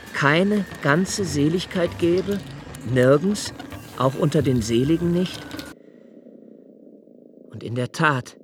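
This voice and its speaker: An actor playing a brooding, solitary diarist in a radio play, with a measured, introspective delivery and an anguished, searching temper. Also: male voice, mid-range voice, a little hiss or hum, close to an everyday voice. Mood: anguished questioning. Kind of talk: literary monologue in a radio play.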